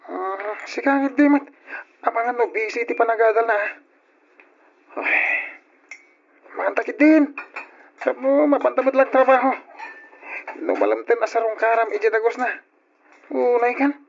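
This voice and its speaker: A man's voice: extremely high pitch, normal speed, vocal fry, pauses often. An actor with an Ilocano accent playing a devoted, polite young working man in love, in an old radio drama. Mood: worried, puzzled. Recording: muffled, noisy old radio drama recording.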